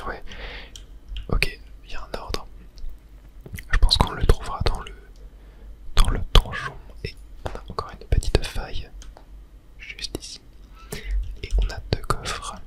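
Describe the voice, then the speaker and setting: Someone softly whispering calm, soothing ASMR commentary while playing a video game, describing each step as it goes. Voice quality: soft unvoiced whisper. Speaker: video game streamer, ASMR channel host.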